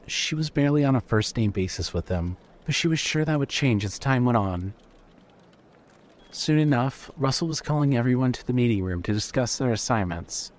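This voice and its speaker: A man's voice: mid-range voice, darkish tone. A man reading scripted story narration as the narrator of an audio fiction piece. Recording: faint noise and hum.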